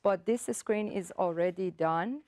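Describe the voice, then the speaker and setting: A woman whose first language is Persian, an artist calmly explaining her work and technique step by step, often pausing with ums and uhs. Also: fairly low pitch, no room sound. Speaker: artist giving a demonstration.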